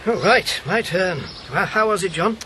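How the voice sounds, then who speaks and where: An actor playing a principled, combative elderly former wartime agent in a radio drama, pointed and sardonic. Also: male voice, high voice, lively intonation, moderate pace, pushed and bright, a little hiss or hum. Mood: wry, jovial. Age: older. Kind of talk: broadcast radio drama acting.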